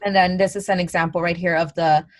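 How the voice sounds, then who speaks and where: Dry-sounding woman lecturing, chatty and halting. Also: fairly low pitch, little pitch movement, no room sound. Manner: conversational with many fillers and restarts. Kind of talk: college lecture.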